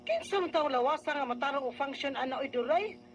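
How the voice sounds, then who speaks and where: A woman's voice: high voice, animated, brisk, slight background noise. A woman playing a concerned, scolding elder sister with a Filipino Bisaya accent in a radio drama. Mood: exasperated. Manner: nagging, admonishing.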